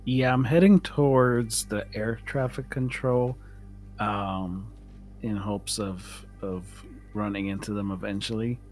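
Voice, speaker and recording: Male roleplaying-game player, low register, casual first-person play, soft music underneath. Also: steady tone, slow.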